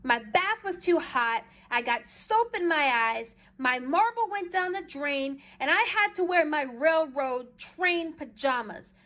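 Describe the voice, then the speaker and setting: Woman, high voice, expressive storybook read-aloud, emphatic, drawn-out phrases. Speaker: storybook reader. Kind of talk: expressive children's read-aloud in a child narrator's first person.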